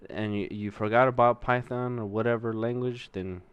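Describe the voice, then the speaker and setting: A man's voice: medium pitch, fairly even intonation, normal speed, clean tone, no echo, lo-fi sound. A young man with a Mexican-American accent, casually swearing and thinking aloud as he works through coding exercises on a stream. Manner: profane, rambling, thinks aloud while typing. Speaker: streamer learning to code.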